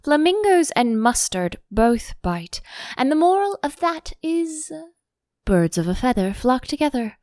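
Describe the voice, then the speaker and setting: A woman's voice: high voice, big pitch swings, a little slow, a little mellow, no echo, clean studio sound, voice pushed up. A woman voicing an overbearing, affectionate older Duchess in a storybook, effusive and sententious, drawing out her phrases. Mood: pleased, agreeable.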